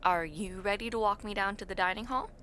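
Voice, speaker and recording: A young adult woman playing a friendly, teasing university student in a casual audio roleplay, talking to the listener with expressive reactions and drawn-out words. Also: medium pitch, a little hiss or hum, natural voice. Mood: chatty, playful.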